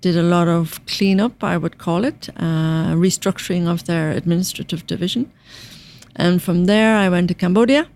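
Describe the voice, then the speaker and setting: A woman in her fifties from Ireland, with a softened Irish accent, answering interview questions in a measured way and listing items at length. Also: lowish voice. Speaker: UN finance director guest.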